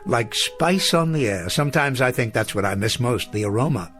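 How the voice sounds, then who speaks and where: A man's voice: mid-range voice, animated, moderate pace, a bit bright, lightly acted. Man playing elderly male professor, old-fashioned, courtly, genial, rambling, radio drama, music behind. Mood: genial, polite. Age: older. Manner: wordy, courtly, chuckling, hesitant. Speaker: veteran teacher.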